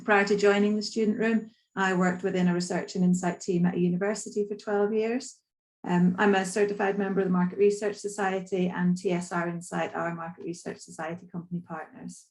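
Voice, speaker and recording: A woman, a market research consultant, introducing herself in a measured, businesslike way on a webinar. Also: fairly low pitch, flat delivery, a little mellow.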